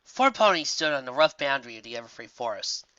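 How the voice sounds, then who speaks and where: An expressive narrator reading a scripted story aloud. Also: medium pitch, fast talker, dry recording, muffled recording. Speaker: fan fiction narrator.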